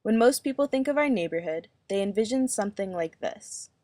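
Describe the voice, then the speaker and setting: A young adult woman giving earnest, measured scripted narration. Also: mid-range voice, animated, background noise.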